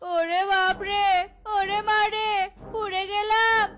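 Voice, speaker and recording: A woman voicing a pushy, excitable customer in a cartoon. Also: very high voice, slow, loud music behind the voice. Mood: wailing in pain. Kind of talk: cartoon character acting.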